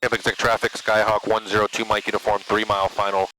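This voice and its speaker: A man's voice: lowish voice, level tone, fast, poor audio. A man chatting casually and making radio calls as a pilot, in a laid-back, informal way, on an aviation headset in a small plane. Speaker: private pilot working the radios. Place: inside a small propeller plane in flight. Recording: loud small-plane cockpit noise.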